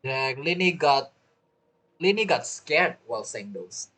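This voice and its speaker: A man's voice: mid-range voice, expressive, a little slow, a bit bright. A man streaming a game playthrough with casual commentary, musing aloud and making joking asides.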